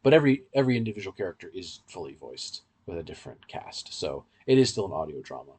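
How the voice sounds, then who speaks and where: A man's voice: low voice, very animated, quick pace, darkish tone, husky. A man co-hosting a podcast, giving casual, in-depth fan commentary in a rambling, explanatory way. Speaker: podcast co-host.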